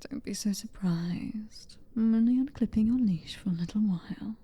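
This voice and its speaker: A woman with a British accent voicing a possessive, centuries-old female vampire noblewoman in a slow, intimate whispered roleplay. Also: mid-range voice, mellow, natural voice. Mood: teasing. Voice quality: breathy whisper. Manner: slow, intimate, teasing and controlling. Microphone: close microphone.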